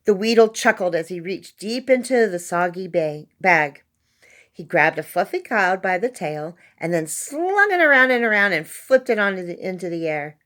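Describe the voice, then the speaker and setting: A woman's voice: fairly low pitch, big pitch swings, moderate pace, a bit bright. Woman reading a children's story expressively. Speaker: storybook reader. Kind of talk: expressive read-aloud children's story narration.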